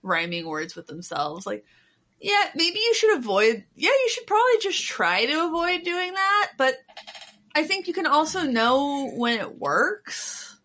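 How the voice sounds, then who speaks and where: A woman chatting casually and informally, with animated, mocking asides. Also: high-pitched, measured pace. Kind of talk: casual chat.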